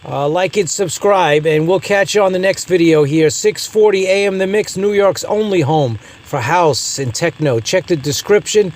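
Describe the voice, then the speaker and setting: A man with an American accent and a slightly high-pitched voice presents a hands-on product review. His delivery is casual and conversational, like a hobbyist host talking to his viewers. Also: normal speed, few pauses. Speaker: host of a radio review channel.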